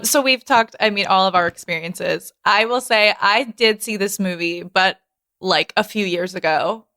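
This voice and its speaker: A woman's voice: medium pitch, moderate pace, bright, forceful tone, no room sound. A woman of about thirty co-hosting a podcast with casual, teasing comedic banter. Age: around 30. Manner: giggly, teasing. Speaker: podcast co-host.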